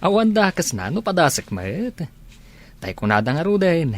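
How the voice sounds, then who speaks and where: A man's voice: on the high side, sing-song, normal speed, no echo. A man with an Ilocano accent playing an earnest young village suitor in a radio comedy drama. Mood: challenging. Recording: music behind the voice.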